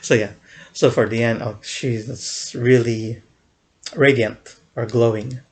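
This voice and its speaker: A man's voice: mid-range voice, fairly even intonation, slow pace, darkish tone. A young man casually recounting a fan event in a vlog, rambling with many fillers and restarts, often chuckling. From United States.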